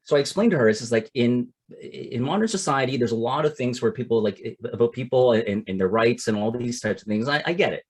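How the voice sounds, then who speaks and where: Husky man giving a seminar talk. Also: mid-range voice, expressive, a little fast. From Canada. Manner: conversational, repeats words and restarts, tags "right?". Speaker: investment coach.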